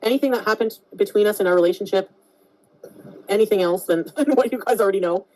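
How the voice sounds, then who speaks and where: A woman at a middle pitch, talking casually to her followers in a personal vlog. She speaks openly and directly, addressing her viewers and critics. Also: fast talker, darkish tone, no echo, low-quality recording.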